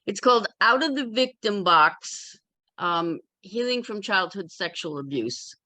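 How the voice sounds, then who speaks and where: Woman, bright forceful voice, dry sound, candid and wry, casual group chat. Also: mid-range voice, lively intonation, a little slow, no room sound. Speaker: memoir author in a community discussion.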